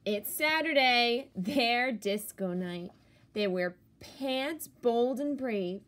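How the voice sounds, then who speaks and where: A woman who is a teacher, reading a picture book aloud to children with expressive, theatrical emphasis on key words. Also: fairly high pitch, takes it slowly, slight background noise.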